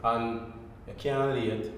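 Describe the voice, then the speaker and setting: A Jamaican man chatting casually in Patois as a performer on a group podcast, telling things in an animated, repetitive way. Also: mid-range voice, takes it slowly. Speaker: pantomime performer, podcast guest. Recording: echoey room, faint noise.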